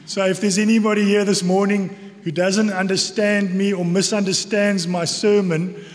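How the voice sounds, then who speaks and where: South african guy doing a sermon, pretty high voice, long echo like a church hall, deliberate and earnest. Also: level tone. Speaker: church elder giving a sermon.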